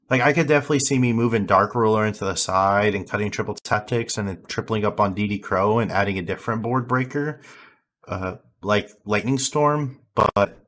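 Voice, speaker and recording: A hoarse young man, having lost his voice, casually reviewing his card game deck with rambling fillers and restarts. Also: lowish voice, fairly bright tone.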